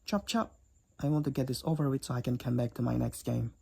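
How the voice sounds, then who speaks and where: A woman's voice: very deep voice, steady tone, fast talker, very noisy. A woman playing a cocky, teasing demoness college roommate and gamer in a roleplay audio drama, with a wry, theatrical delivery. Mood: bossy, impatient. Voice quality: raspy, creaky. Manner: teasing, wry, theatrical, addresses the listener as Sugar.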